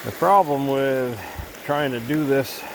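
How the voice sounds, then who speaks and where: A man's voice: mid-range voice, slow pace, background noise. A man with a head cold talking casually and rambling a little as he vlogs outdoors by a cold stream. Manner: easygoing, rambling, thinking aloud. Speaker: gold prospecting vlogger. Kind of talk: casual outdoor vlog narration.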